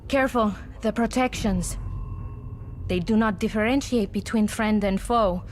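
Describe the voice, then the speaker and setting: A woman's voice: medium pitch, unhurried, a little hiss or hum, lightly acted. A woman playing an embattled, distrustful field operative in a video game, with a Spanish accent and an earnest, weary, dramatic delivery. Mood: wary, weary. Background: music.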